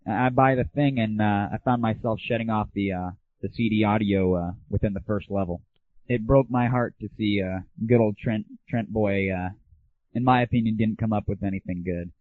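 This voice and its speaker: A man's voice: lowish voice, dry recording. A man hosting an internet audio show, giving casual, opinionated solo commentary with irreverent, crude humor. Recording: thin phone-like early internet audio.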